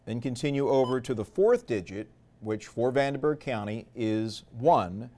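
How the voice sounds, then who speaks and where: A man's voice: medium pitch, lively intonation, normal speed, dark tone. A man narrating a product tutorial step by step in a methodical, explanatory way. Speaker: instructional presenter.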